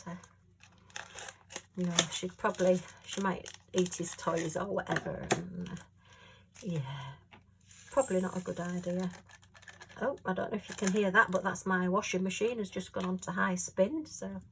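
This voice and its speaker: A woman chatting casually at home while she works on a craft, rambling and homely with lots of fillers, her voice a little hoarse. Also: fairly low pitch, slight background noise, poor audio. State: slightly hoarse. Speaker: crafting vlogger.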